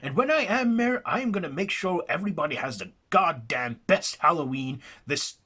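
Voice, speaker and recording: A man voicing a blustering male councilman who makes loud, boastful promises. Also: medium pitch, normal speed, voice pushed up.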